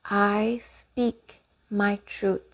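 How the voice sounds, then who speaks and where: A woman guides a meditation at a slow pace, leaving long pauses between phrases. Her pitch sits in the middle range for a woman, and her instructions and affirmations are calm and unhurried.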